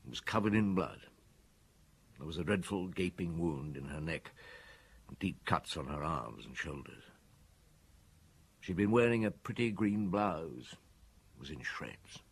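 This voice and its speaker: An actor playing a weary, middle-aged male chief inspector in a radio drama, speaking in a measured, understated, reflective way with a husky voice. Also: low-pitched, lively intonation, full character voice. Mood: shaken, subdued. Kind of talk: radio drama narration and dialogue.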